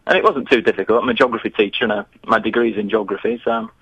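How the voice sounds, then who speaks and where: A man's voice: on the low side, quick pace, fairly bright tone, husky, no echo, dull audio. A man, answering interview questions in a chatty, hesitant way with many restarts. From northern England. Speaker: guest, geography teacher. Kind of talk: remote interview.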